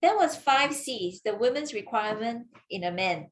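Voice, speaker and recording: A woman's voice: a little high, animated, moderate pace, slight background noise. A woman from Malaysia, a gemologist hosting a webinar in a chatty, teasing way with frequent laughs, speaking over a video call. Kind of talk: casual webinar talk.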